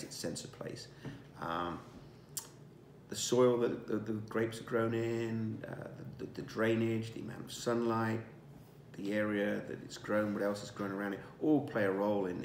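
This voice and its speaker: A man in his sixties, a winemaker, presenting a wine tasting in a relaxed, savoring way with many fillers and restarts, recorded indoors at a dining table by a camera across the table. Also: lowish voice, roomy sound, slight background noise.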